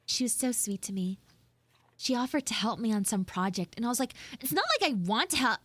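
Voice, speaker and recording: A woman voicing a defensive, flustered tsundere anime schoolgirl who acts mean but is secretly soft, in an improvised livestream roleplay. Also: a little high, animated, no room sound. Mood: sheepish. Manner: defensive, pouty, halting and repetitive. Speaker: livestreamer and VTuber voice actress. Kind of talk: improvised live audio roleplay with chat asides.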